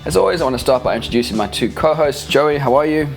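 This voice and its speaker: A man hosting a casual podcast, husky and hoarse from a cold, chatty and laughing as he tells stories with many restarts. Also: mid-range voice, animated, fast talker, faint music behind. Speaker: podcast host.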